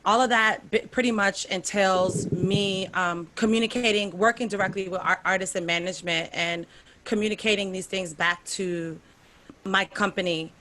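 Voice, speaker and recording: Woman panel guest, bright crisp tone, low-ish voice, keeps restarting her words. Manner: talks in halting restarts with repeated words. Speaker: panel guest, music marketing director. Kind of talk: online panel discussion.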